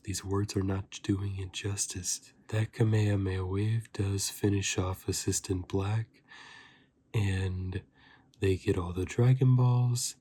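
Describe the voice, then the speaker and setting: Low, slow, whispery ASMR man. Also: steady tone. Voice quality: soft, breathy, often dropping into a whisper. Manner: informal fan slang, rambling. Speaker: host of an anime review channel. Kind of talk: softly spoken ASMR review.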